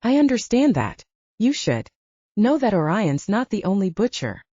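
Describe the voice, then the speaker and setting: A flattering, suggestive male suitor played by a woman in a romance audiobook. The delivery is lively and mellow, recorded dry. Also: medium pitch, normal speed, breathy edge, dry recording, dull audio. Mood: flirty. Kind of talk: audiobook character dialogue.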